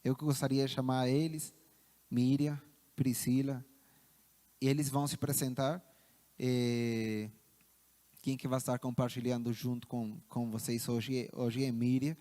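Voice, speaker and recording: A man from Chile with a Chilean accent, a missionary giving an earnest church address, often halting and repeating words. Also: mid-range voice, level tone, warm tone. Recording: long hall reverb.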